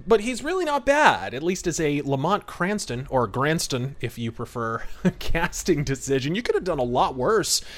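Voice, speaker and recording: Male podcast host, slightly high pitch, conversational film commentary, chatty, discursive, frequent asides. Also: very animated, moderate pace, a bit bright.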